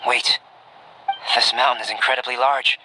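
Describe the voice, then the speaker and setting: An actor playing a cool, reserved young alchemist in a video game, speaking in a measured, formal and precise manner. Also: male voice, mid-range voice, little pitch movement, noisy background, close to an everyday voice. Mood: cautious. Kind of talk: video game cutscene acting. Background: game music.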